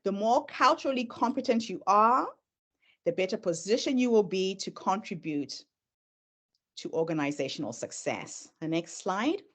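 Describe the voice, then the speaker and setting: A woman's voice: medium pitch, lively intonation, normal speed. A middle-aged woman whose first language is Shona, speaking with a Zimbabwean accent tinged with American, giving an earnest presentation and sharing her own story as she moves through slides. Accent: Zimbabwean, mixed with American. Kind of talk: presentation talk.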